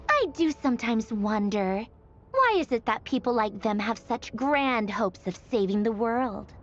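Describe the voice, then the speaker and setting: A woman's voice: a little high, very animated, slow, dull audio, heavily acted. A woman voicing a wry, aloof female storyteller in a video game, musing theatrically with a faintly mocking detachment. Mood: wistful, musing. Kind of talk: scripted dramatic video game narration. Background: background music.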